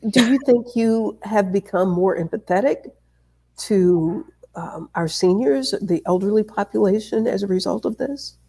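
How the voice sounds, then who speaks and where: A woman hosting an interview, asking questions in a deliberate, encouraging way. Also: mid-range voice, mellow, slightly rough. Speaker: interview host.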